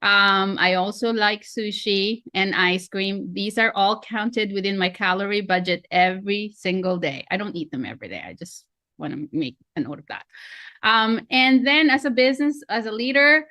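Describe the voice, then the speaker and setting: A woman's voice: mid-range voice, normal speed, bright, forceful tone, dry recording. A woman in her forties with a Filipino accent, chatty and self-deprecating, coaching a webinar over a video call. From Philippines. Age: 40s. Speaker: real estate coach. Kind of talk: online training webinar.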